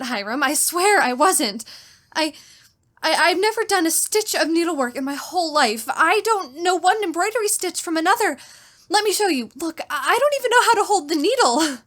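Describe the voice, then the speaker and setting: A woman's voice: very high-pitched, a bit bright. An actress playing a terrified, genteel captive young woman in a suspense drama, with a faltering, melodramatic delivery. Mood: flustered, nervous. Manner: faltering, repeats words, melodramatic. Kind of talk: old-time radio style suspense drama, diary monologue.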